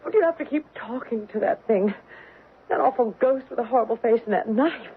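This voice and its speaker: Distressed wife, high pitched, plaintive, halting, emotional, vintage radio drama, thin tinny sound. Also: female voice, animated, husky. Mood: exasperated, upset. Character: distressed haunted wife, exasperated, anxious, apologetic. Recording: tinny band-limited old radio recording.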